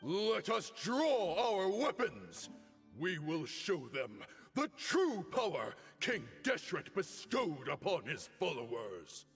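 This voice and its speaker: An actor playing a proud, defiant male desert warrior leader, speaking gravely in a game cutscene. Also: sits high, very animated, takes it slowly, fairly bright tone, full character voice. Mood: rallying. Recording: long reverb with game music.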